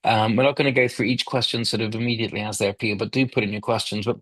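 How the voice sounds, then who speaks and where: A man's voice: on the low side, steady tone, rapid-fire, a bit bright, dry recording. A man hosting a webinar panel, talking in a hedging, conversational way. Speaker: webinar host.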